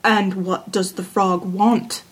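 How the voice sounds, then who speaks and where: A woman voicing a stern, fatherly king in a fairy tale, speaking in a measured, commanding way. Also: medium pitch, little pitch movement, husky, pitched below the performer's own voice. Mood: concerned. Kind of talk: fairy tale storybook character voice.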